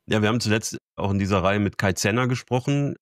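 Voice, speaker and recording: A man's voice: on the low side, quick pace, dry recording, clean studio sound. A man hosting an interview, asking long questions with frequent restarts. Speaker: podcast host.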